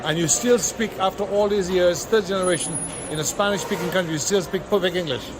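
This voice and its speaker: A man interviewing people at an outdoor fair, enthusiastic and quick with praise. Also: high-pitched, noisy background. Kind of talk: street interview.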